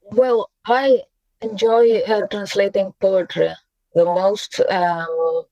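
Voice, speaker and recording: A woman around forty, Russian by birth and Russian-speaking from childhood, answering interview questions in a reflective way with frequent pauses and fillers. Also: medium pitch, steady tone, dry recording, dull audio. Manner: thoughtful, hesitant, many pauses and fillers, soft laughs. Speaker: poet and translator, interview guest.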